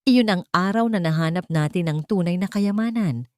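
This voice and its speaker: A woman's voice: lowish voice, normal speed, darkish tone, dry recording, clean studio sound, close to an everyday voice. A woman with a Filipino accent voicing a loving, wise old grandmother in a story, tender and solemn. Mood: fond.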